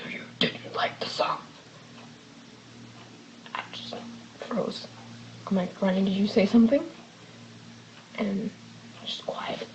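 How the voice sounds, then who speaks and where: Woman in a documentary clip telling what happened to her, kinda muffled playback, faint noise behind. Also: mid-range voice. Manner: quotes herself and others in animated bursts. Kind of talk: recounting a personal experience. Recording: dull documentary clip played back.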